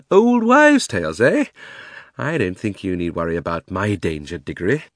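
Pompous old uncle-magician, wildly swooping voice. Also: male voice, sits low, sing-song, moderate pace, no echo, studio quality. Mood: condescending, forced ease. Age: older. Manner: haughty, indignant, condescending. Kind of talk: dramatised storybook reading.

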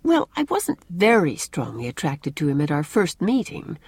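A woman voicing a cheerful, romantic young bride-to-be in an audiobook, expressive and lyrical with a teasing touch. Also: low-pitched, normal speed, husky, dry recording, full character voice. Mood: fond. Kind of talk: audiobook character dialogue.

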